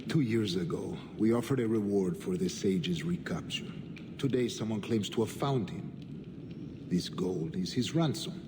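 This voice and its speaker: A guarded male governor from a video game drama, played by an actor. His voice is on the low side, formal and reserved. There is clear background noise around it. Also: normal speed, lightly acted. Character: guarded, dignified male governor, Templar grand master. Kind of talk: video game cutscene acting. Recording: clearly noisy ambience behind voice.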